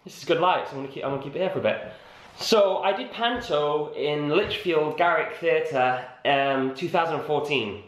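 A young man talking casually to a handheld camera, chatty and animated with lots of filler words. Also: a little high, moderate pace, hardly any pauses, roomy sound, low-quality recording. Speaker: vlogger and stage actor. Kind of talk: casual vlog chat. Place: hotel room and corridor indoors, garden outdoors. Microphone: camera held at arm's length.

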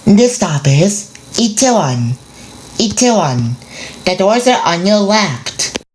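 A woman's voice: mid-range voice, very animated, very slow, a little mellow. A woman reading scripted station stops as a subway announcer.

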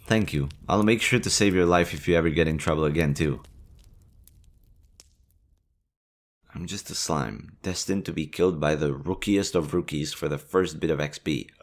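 A man voicing a nervous, gentle young male slime monster in an audio roleplay, talking softly and hesitantly. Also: rumbling low, moderate pace. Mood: earnest. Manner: soft, hesitant, chatty.

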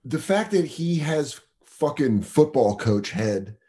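A comedian riffs and laughs through crude podcast banter. His pitch swings widely as he gets animated, and he speaks into a studio mic over a video call. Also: takes it slowly. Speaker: comedian guest.

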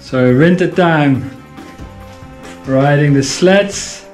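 A man giving a relaxed, unhurried tour of a wooden holiday house to the camera. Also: fairly high pitch. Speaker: vlogger. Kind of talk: casual vlog chat. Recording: roomy sound with music behind.

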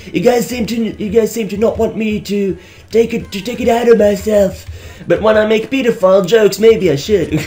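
A young man with a Belgian accent, raspy from just waking up, giving rambling, joking commentary over a game he is playing and often stumbling over his words. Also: high-pitched, normal speed, dark tone, faint music behind. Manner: rambling, stammering restarts, joking, laughs often. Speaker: let's play host. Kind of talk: casual gameplay commentary.

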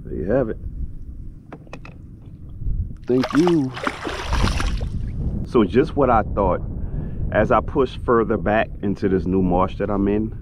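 A man's voice: low voice, muffled recording, background noise. A man giving casual, laid-back, folksy commentary to viewers as a fishing vlogger, outdoors on a boat in a marsh.

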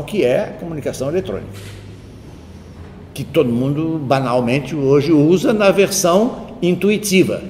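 A man's voice: a little high, darkish tone. A man giving a university lecture, reasoning aloud in a discursive, digressive way and checking in with tag questions. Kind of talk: spoken lecture. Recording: roomy hall with faint ambient noise.

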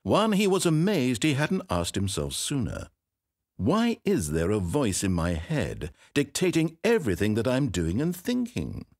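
A wry, theatrical storyteller whose husky, lower voice leaps wildly up and down in pitch. He narrates a video game from a dry studio booth, swinging between hushed asides and sudden exclamations. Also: no room sound, exaggerated voice.

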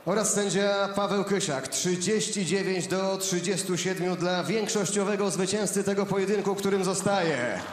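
A man announcing the judges' scores and the winner in a boxing arena, drawing out his words. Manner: drawn-out booming announcements. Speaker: ring announcer. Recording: echoey arena hall, heard from afar.

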